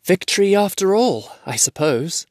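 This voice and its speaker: Man voicing a small kindly hobbit, fretful, self-deprecating, raised pitch, dry close sound. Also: expressive, moderate pace, darkish tone, no echo. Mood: gloomy. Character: small kindly male hobbit, anxious and gentle.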